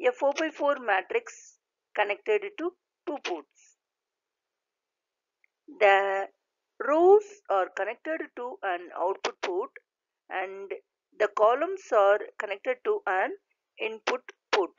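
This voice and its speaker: A woman lecturer reading a scripted slide lesson aloud in a deliberate, halting way, in short phrases. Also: medium pitch, animated, dry recording. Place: voice-over recorded over slides. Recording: dull, lo-fi voice recording.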